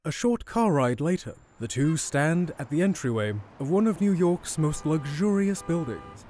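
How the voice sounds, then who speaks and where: A man narrating a scripted fantasy audio drama in a measured, dramatic storyteller manner. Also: a little high, expressive, warm tone, a little hiss or hum. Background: music.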